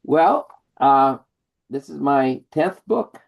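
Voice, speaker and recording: A man reading his poem at an online open mic, slow and deliberate, speaking over a video call. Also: mid-range voice, expressive, creaky, highs cut off. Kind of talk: poetry reading.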